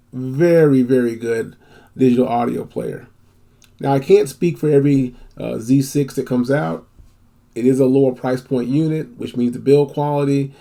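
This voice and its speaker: A man's voice: medium pitch, creaky, mellow. A man giving a casual, unscripted product review as host, rambling a little, repeating phrases for emphasis and using folksy slang.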